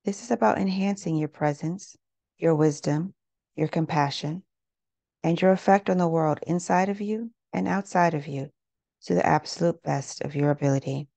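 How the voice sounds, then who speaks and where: A woman leading a guided meditation in a gentle, unhurried, measured way, leaving pauses between phrases. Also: low-pitched, gravelly, dry recording. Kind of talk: guided meditation, scripted narration.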